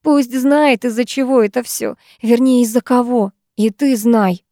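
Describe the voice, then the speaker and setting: A distraught teenage girl in a storybook, pleading through tears, her high voice cracking and straining upward, as a woman reader puts her on. The tone stays soft and mellow rather than bright, recorded close and dry with no room around it. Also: steady tone, a little slow, dry recording. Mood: desperate. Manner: tearful, pleading, breaking voice. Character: sobbing desperate teenage schoolgirl. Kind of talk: audiobook character voice.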